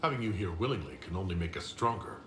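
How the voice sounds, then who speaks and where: A man's voice: low voice, animated, fast. A man voicing a composed, inquisitive fantasy leader in a video game, speaking directly and mostly in questions. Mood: composed, curious. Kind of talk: video game dialogue acting. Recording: roomy echo, clearly noisy game capture.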